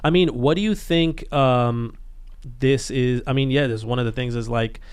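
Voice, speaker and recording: Blunt, animated young man, podcast co-host. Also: medium pitch, moderate pace, clean tone. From Bangladesh. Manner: blunt, animated, many fillers and restarts. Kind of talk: casual chat.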